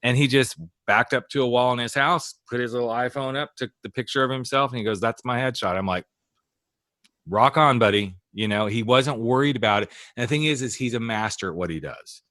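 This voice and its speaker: A man's voice: medium pitch, a little fast, sharp tone, no echo, clean studio sound. A man hosting a podcast interview, telling anecdotes in a casual way and acting out what other people said. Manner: storytelling, acting out the other people in his stories. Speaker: podcast host.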